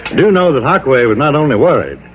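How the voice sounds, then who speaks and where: A man's voice: mid-range voice, very animated, quick pace, music in the background, natural voice. An American man playing a cautious, fussy insurance executive in an old-time radio drama, precise and formal but often hesitant and hedging. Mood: disapproving. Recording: dull vintage radio recording.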